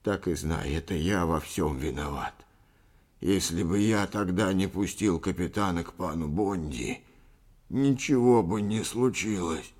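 Man acting a husky, slow, trembling old man. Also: low voice, animated, a little mellow. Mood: guilt-stricken. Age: older. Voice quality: husky, hoarse, whispering at times. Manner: trembling, halting muttering. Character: frightened, guilt-ridden old father and grandfather.

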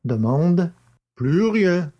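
A man reading a magistrate's questions deliberately in a dramatised audiobook. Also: sits high, sing-song, warm tone, lo-fi sound.

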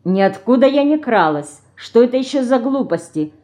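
A woman's voice: mid-range voice, animated, measured pace, a little mellow, natural voice. A woman voicing a prim young older sister, indignant and scolding, in a storybook reading. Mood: offended.

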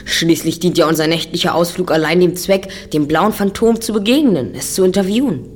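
A woman's voice: lowish voice, moderate pace, a little hiss or hum, natural voice. A woman playing a self-assured, brainy teenage boy detective in an audio drama, precise and a little pompous. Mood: confident, lecturing.

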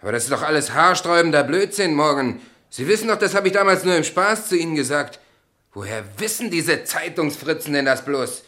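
A man's voice: fairly high pitch, expressive, fairly quick, pushed and bright. An actor playing a naive, stubborn, plain-spoken male heir in a German radio play, with a blunt, exclamatory manner. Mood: indignant, baffled.

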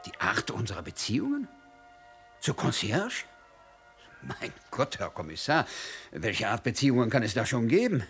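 An actor playing an embassy attaché who answers a police inspector with formal, guarded courtesy in a radio drama. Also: male voice, medium pitch, huge pitch swings, moderate pace, soft background music. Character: polite, guarded male diplomat.

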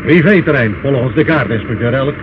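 An actor in a Dutch radio play, playing a dutiful police sergeant who reports in a clipped, deferential way. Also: male voice, mid-range voice, animated, a little fast, natural voice. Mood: dutiful, alert. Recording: dull old radio recording, faint noise.

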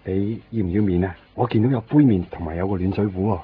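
A man's voice: low voice, quick pace, lightly acted. An actor playing a mild-mannered, laid-back junior policeman with a Hong Kong accent in a comic radio drama. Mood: obliging, relaxed. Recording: dull, faintly noisy radio recording.

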